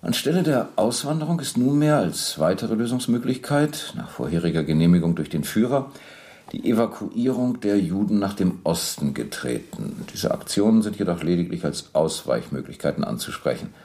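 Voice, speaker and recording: A man's voice: lowish voice, lively intonation, moderate pace, dark tone. An actor playing a methodical male police captain in a radio-play interrogation, formal, precise and insistent.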